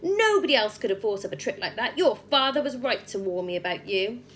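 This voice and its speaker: A woman's voice: fairly high pitch, expressive, brisk, a bit bright, slight background noise. A woman voicing a huge, furious, bullying headmistress who barks and roars accusations in a children's storybook. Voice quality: barking, roaring. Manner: menacing, accusing, insulting.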